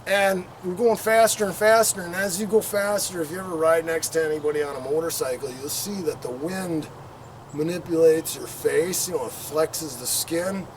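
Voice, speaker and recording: A man's voice: a little high, normal speed. A middle-aged man telling a casual, rambling anecdote, acting out bits as he goes, recorded outdoors on a patio from a distance. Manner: rambling, acts out quotes and engine sound effects. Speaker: storyteller. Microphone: camera several meters away. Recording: distant mic, outdoor background noise.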